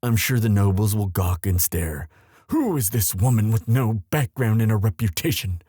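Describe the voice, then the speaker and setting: A man playing a devoted young crown prince in a romantic ASMR audio drama, earnest and tender, with formal, halting, courtly speech. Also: fairly low pitch, expressive, warm tone, breathy edge, dry recording, clean studio sound. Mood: tender, protective.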